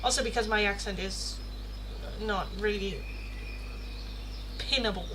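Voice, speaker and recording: A woman's voice: mid-range voice, a little slow. A woman from the Netherlands, a native Dutch speaker, chatting casually and expressively as she hosts a live tarot stream. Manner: chatty, expressive, swears playfully. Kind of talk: casual live chat with viewers. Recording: clear background noise.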